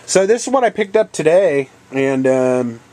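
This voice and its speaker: A man's voice: on the high side, very animated, a little mellow. Congested man rambling through a vlog. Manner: rambling, halting, many ums and uhs. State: congested with a head cold; coughing now and then. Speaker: channel host. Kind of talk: casual video game haul vlog.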